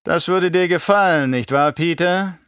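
A man's voice: on the high side, a little slow, fairly bright tone, clear, resonant tone, dry recording, clean studio sound, faint music behind, voice pushed up. A man playing a scheming, imperious older baron in an audiobook, with a mocking, condescending, theatrical delivery. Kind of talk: audiobook character acting.

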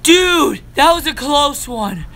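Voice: sits high, animated, slow pace, sharp tone. A young-sounding voice acting out informal, repetitive, exclamatory dialogue for a homemade game roleplay. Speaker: voice actor in a self-made Minecraft roleplay. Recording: music behind the voice.

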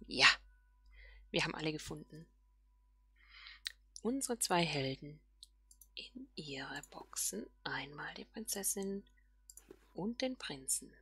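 A woman giving casual game commentary, musing softly and half whispering to herself. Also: lowish voice, slightly rough, no room sound. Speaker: let's player.

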